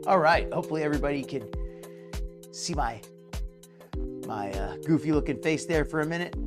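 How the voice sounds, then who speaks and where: A slightly hoarse man hosting a live reaction stream, chatty and mocking, greeting viewers in chat by name. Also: fairly high pitch, animated, moderate pace, music in the background. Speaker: commentary livestream host. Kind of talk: casual live reaction commentary.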